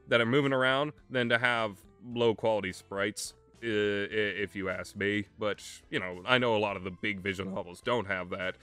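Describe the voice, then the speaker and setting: A young man giving a casual, rambling game review in a halting way, often chuckling as he goes. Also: mid-range voice, sharp tone, smooth, dry recording. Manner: hesitant, halting, often chuckling mid-sentence.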